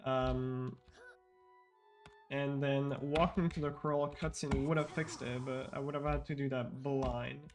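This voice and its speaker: A man giving relaxed live commentary on his own speedrun, explaining tricks in a casual, rambling way. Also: mid-range voice, measured pace, music in the background. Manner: casual, explanatory, frequent fillers and restarts.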